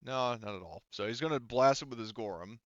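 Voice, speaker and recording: A man's voice: mid-range voice, a little fast, no echo, lo-fi sound. A man working as game master, narrating a tabletop roleplaying game casually and profanely, thinking aloud as he works through the rules.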